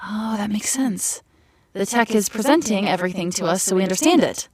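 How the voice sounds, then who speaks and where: A playful young geek heroine , played by a woman in an audio drama. Her voice is husky and moves widely in pitch as she trades quips. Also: expressive, brisk, darkish tone. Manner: playful, quippy. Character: upbeat, geeky young female hero, playfully sardonic.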